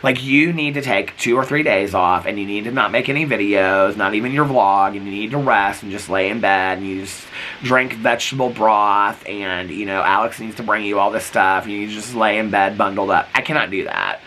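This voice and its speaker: A man's voice: fairly low pitch, normal speed, bright, forceful tone, a little hiss or hum, poor audio. A man vlogging in a casual, rambling way, full of "like" and "um," while sick and losing his voice. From United States. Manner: rambling, full of likes and ums. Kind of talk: casual chatty vlog.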